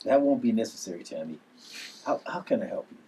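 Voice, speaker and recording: A man's voice: lowish voice, expressive, fast, dark tone, a little hiss or hum, lightly acted, rough recording. A man at a table read playing an earnest uncle, a recovering addict who now trains his nieces to fight. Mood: measured.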